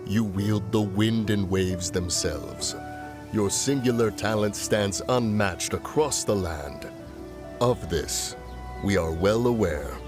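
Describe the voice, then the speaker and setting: A man voicing a solemn, ancient male mountain spirit who speaks slowly and formally in a video game. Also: on the low side, over music. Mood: respectful. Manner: slow, formal, grave delivery.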